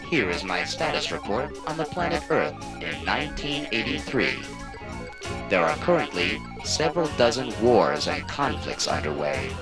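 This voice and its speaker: A dutiful ship's computer played by a man reports in formal, clipped phrases with animated pitch. It is 1980s children's record drama, with loud guitar and music over the voice. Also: moderate pace, natural voice, poor audio. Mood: formal, informative.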